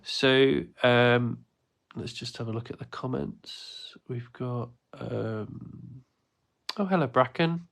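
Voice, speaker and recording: A man's voice: medium pitch, slow, vocal fry. A man, stuffy from a cold, chatting his way through a live drawing lesson with plenty of ums and uhs. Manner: rambling, many ums and uhs. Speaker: art teacher running a livestream class. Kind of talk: casual livestream drawing lesson.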